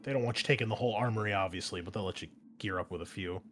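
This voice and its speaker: A man's voice: fairly low pitch, rapid-fire. A man explaining tabletop game rules casually as game master, thinking aloud as he goes. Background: background music.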